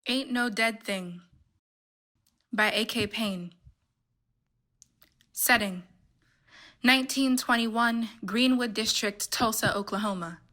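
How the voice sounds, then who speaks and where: A woman's voice: on the high side, slow pace, pauses often, pushed and bright. A woman reading stage directions as the narrator of a play, in scripted narration.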